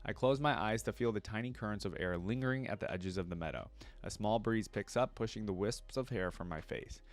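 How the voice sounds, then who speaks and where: A man's voice: fairly low pitch, brisk. A man reading a first-person story aloud expressively, as a content creator who also reacts casually.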